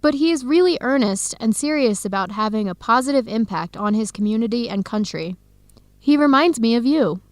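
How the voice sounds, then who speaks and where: An actress playing a warm-hearted young woman in an educational radio drama, expressive and conversational. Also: on the high side, brisk, no echo, close to an everyday voice. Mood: friendly, teasing. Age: young adult. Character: Helen, warm-hearted young woman, defensive about her boyfriend.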